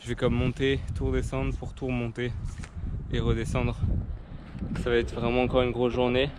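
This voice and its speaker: A man's voice: medium pitch, completely flat delivery, moderate pace, noisy background. A young man who is sick with a cold and a sore throat, chatting tiredly to his viewers while touring outdoors by bike. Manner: chatty, tired, says "les gars" to viewers. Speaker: touring cyclist vlogger. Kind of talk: casual vlog talk to camera. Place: outdoors on the road. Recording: loud music over the talk. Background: loud background music.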